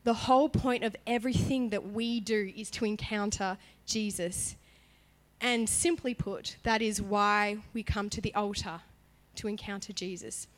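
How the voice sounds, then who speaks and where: A woman worship leader giving a sermon in a church, chatty and animated in her emphasis, her voice partly gone from illness. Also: medium pitch, a little slow. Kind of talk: sermon with prayer.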